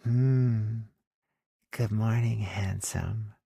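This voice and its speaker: A man's voice: on the low side, fairly even intonation, drawn-out words, creaky, mellow, breathy edge, no echo, clean studio sound, pitched above the performer's own voice, exaggerated voice. A man voicing a feisty, easily flustered young woman in romance audiobook dialogue. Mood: sleepy, affectionate. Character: young woman, flustered, sleepy then frantic, feisty girlfriend.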